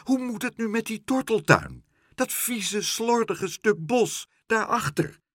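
Man putting on a shrill, fussy, scolding woman. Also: wildly swooping pitch, normal speed, no room sound, studio quality, full character voice. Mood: wailing. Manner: snappish, shrill, nagging complaints. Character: fussy, indignant, scolding woman, easily outraged. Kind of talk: children's storybook character voice.

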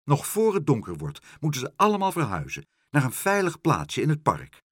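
A man voicing a bossy, excitable fat female pigeon in a children's storybook, exclaiming urgently. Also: fairly high pitch, moderate pace, no echo, studio quality, pitched above the performer's own voice. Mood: bossy, alarmed.

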